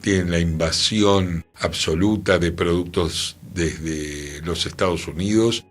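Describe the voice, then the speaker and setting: Low-voiced man on radio. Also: takes it slowly, soft background music. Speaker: radio co-host. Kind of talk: reflective radio commentary. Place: radio studio.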